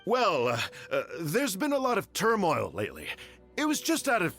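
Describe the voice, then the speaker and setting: A scheming court official, fawning and evasive, who stammers whenever pressed, from a video game. His voice is fairly high and bright, swinging widely in pitch. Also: huge pitch swings, normal speed, faint music behind, exaggerated voice. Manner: nervous, stammering, ingratiating.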